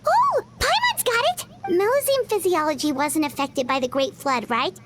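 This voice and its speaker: A woman voicing a tiny, excitable, childlike fairy companion in a video game, talking about herself in the third person. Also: extremely high pitch, moderate pace, heavy background noise. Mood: excited. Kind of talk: scripted video game acting.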